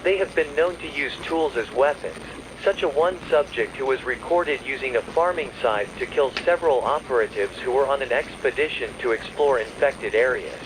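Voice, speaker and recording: A man delivering a scripted emergency broadcast warning in a formal, measured, official announcer's manner. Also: medium pitch, background noise. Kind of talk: scripted emergency alert narration.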